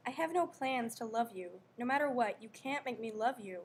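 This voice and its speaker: Defiant young girl read by a woman: blunt, stubborn, fairly high voice, stage reading with faint noise. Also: animated, normal speed, close to an everyday voice. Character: defiant young girl resisting a false mother. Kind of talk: readers theater performance. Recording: faint background noise, live ambience.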